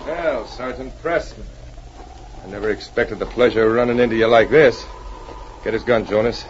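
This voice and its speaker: An actor playing a ruthless, swaggering outlaw gang leader in a 1940s radio adventure, bossy, with a rough folksy frontier way of talking. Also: male voice, mid-range voice, moderate pace, close to an everyday voice. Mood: gloating. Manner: bossy, folksy frontier drawl with dropped grammar. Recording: muffled, noisy 1940s radio recording.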